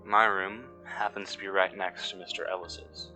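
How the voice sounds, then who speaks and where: A man acting as an uneasy, cynical excavation worker, recording a fictional audio log in measured, brooding, scripted narration with pauses mid-sentence. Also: low-pitched, steady tone, pushed and bright, soft background music, full character voice, poor audio. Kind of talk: scripted first-person mystery narration.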